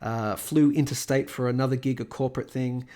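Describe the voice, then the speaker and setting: A man with a croaky voice from a cold, talking casually as the host of a market commentary and chart walkthrough. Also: mid-range voice, fairly even intonation, fairly quick, darkish tone. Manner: rambling, lots of fillers and restarts. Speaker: host of a crypto price analysis channel.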